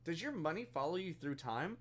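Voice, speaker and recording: A man's voice: a little high, sing-song, a little fast, a bit bright. A man chatting casually and self-deprecatingly as he hosts a live stream over voice chat. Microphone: voice chat on a computer.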